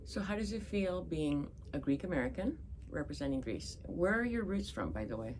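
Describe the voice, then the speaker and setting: A woman's voice: low voice, big pitch swings, normal speed, a little mellow, slight background noise. A woman hosting an interview, asking friendly, curious questions. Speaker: podcast host.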